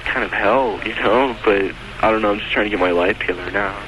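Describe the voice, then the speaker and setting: A man in his early twenties calling a radio show, chatting casually and hesitantly in a slow, slacker-like way. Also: lowish voice, pushed and bright. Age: early 20s. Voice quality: slow drawn-out delivery. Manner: hesitant, slacker-like, chuckles often. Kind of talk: casual phone chat. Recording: noisy phone call on AM radio recording.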